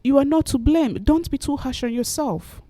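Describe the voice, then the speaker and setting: A woman's voice: high voice, normal speed, mellow, no echo. A woman giving earnest, explanatory answers as a guest in a conversational interview. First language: not Finnish, learned it as an adult. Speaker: guest, novelist.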